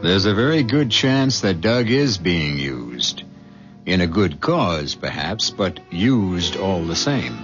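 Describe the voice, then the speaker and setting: Ominous, wry radio mystery host: very low, unhurried, portentous narration over music, dull vintage sound. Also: male voice, big pitch swings, full character voice. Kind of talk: scripted radio drama narration. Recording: dull 1970s radio recording, music behind.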